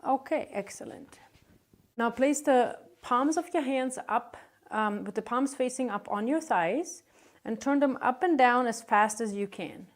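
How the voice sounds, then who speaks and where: A woman conducting a medical exam, giving calm, polite step-by-step instructions with short acknowledgements. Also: a little high, a little slow.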